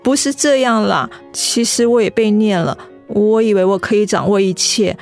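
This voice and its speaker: An actress playing a gentle, apologetic young woman in a radio drama. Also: mid-range voice, moderate pace, natural voice. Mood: contrite. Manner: meek, affectionate. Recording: music behind the voice.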